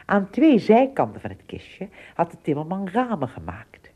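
A woman's voice: on the low side, wildly swooping pitch, moderate pace, highs cut off. A woman narrating a radio play as a scripted storyteller.

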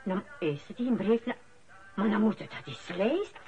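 A woman's voice: mid-range voice, expressive, moderate pace, dark tone, highs cut off, natural voice. An actress playing a flustered, indignant wife in a radio drama, stammering as she talks. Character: flustered, disgusted Flemish wife.

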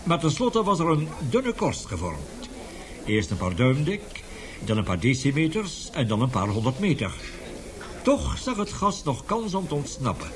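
An actor playing an older, indignant scientist professor in a radio play, vehement and lecturing, halting at times. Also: male voice, mid-range voice, huge pitch swings, crowd noise. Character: indignant, passionate scientist professor with a weak heart. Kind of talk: radio drama acting.

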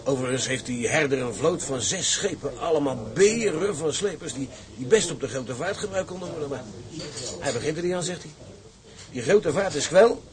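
An actor playing a plain-spoken seaman and business partner, measured and understated, in drama dialogue. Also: on the high side, lively intonation, crowd noise, lightly acted. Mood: appraising. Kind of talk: Dutch audio drama dialogue.

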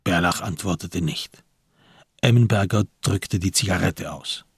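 A man narrating a literary crime story for a radio play in a husky voice, measured and grave. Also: sits low, no room sound, clean studio sound, heavily acted. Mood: sober, hushed. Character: sober male storyteller of a crime tale.

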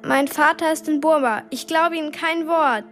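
A woman's voice: high voice, moderate pace, a bit bright, faint music behind. An actress playing a brave but anxious twelve-year-old girl in a radio drama, earnest and defiant. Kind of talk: German radio drama acting.

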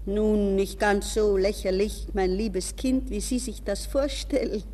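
An actor playing a suave, condescending older gentleman with measured, smooth courtesy, in a 1960s radio drama style. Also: sits high. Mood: cool, patronising. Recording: reverberant, hissy 1960s radio recording.

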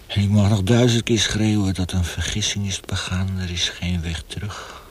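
An actor playing a feverish, tortured young male prisoner facing death in a radio drama, speaking haltingly with hushed passages. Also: low-pitched, flat delivery, slightly rough. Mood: resigned, regretful.

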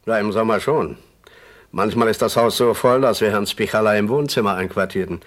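A man's voice: on the low side, brisk, fairly bright tone, close to an everyday voice. An actor playing a plain-spoken, homely and slightly wary male country innkeeper in a radio crime drama. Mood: chatty, obliging.

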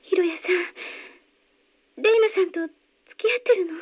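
A woman's voice: very high voice, moderate pace. A woman playing an earnest, anxious, polite young woman in a radio drama, with a hesitant, girlish way of speaking. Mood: wistful, hesitant. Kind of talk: Japanese radio drama acting.

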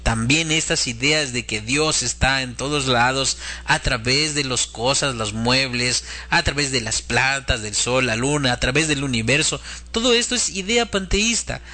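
A man's voice: mid-range voice, normal speed, pushed and bright. A man giving a religious lecture in an emphatic, instructive way, like a preacher teaching his audience. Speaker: religious speaker presenting a talk.

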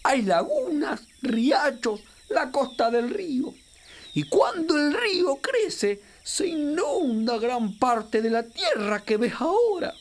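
A woman voicing a chubby, excitable capybara who acts as a knowing river guide in a children's radio play, with a Rioplatense Argentine accent and a theatrical, exclamatory way of explaining. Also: high voice, slow, slight background noise, full character voice. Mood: proud, explaining. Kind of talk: children's radio drama acting.

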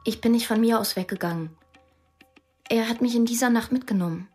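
A woman's voice: a little high, fairly quick, a little mellow, slightly rough, soft background music. An actress playing a guarded beggar woman who gives terse, reticent answers in a radio drama.

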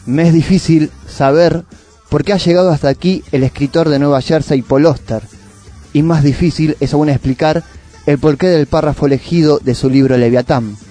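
A man's voice: on the high side, fairly even intonation, normal speed, mellow, soft background music. A man with a Rioplatense Argentine accent presenting a radio literary segment, reading poetic prose and monologue in a reflective way.

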